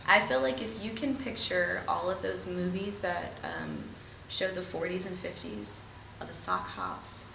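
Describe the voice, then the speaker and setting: A woman's voice: on the low side, animated, measured pace, vocal fry, few pauses, pushed and bright, recorded from a distance, slight background noise. A woman, a dance instructor, chatting casually on a podcast with emphatic, enthusiastic affirmations. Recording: echoey room, voice a bit off-mic, faint ambience.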